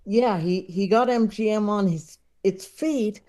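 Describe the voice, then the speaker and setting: Mellow guy as podcast guest, casual opinionated chat, kinda hesitant, thinking out loud. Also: high-pitched, a little slow. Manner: hesitant, thinking aloud, analytical. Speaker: podcast guest, comedy enthusiast.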